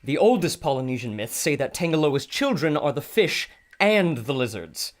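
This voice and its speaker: A man's voice: on the high side, animated, normal speed, close to an everyday voice. An actor playing a scholarly male archaeologist who explains things in a learned way. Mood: explaining.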